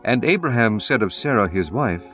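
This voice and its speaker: A man's voice: on the low side, animated, moderate pace, fairly bright tone, soft background music. A man narrating a scripture audiobook in a solemn, formal manner, reading archaic text as a scripted performance.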